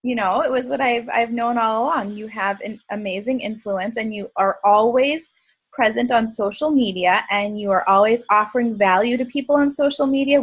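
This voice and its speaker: A woman with a fairly high voice leads a team video call, upbeat and full of praise. She chats casually and drops in quick asides. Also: husky. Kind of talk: encouraging team call chat.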